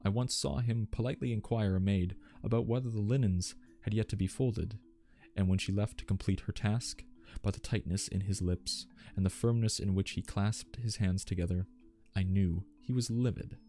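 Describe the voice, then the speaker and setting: Genteel butler, male narrator in role, low-voiced and soft-toned, formal, gentle ASMR storytelling over music. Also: steady tone, moderate pace, close to an everyday voice. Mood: admiring, composed. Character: genteel, devoted male butler reminiscing about household staff.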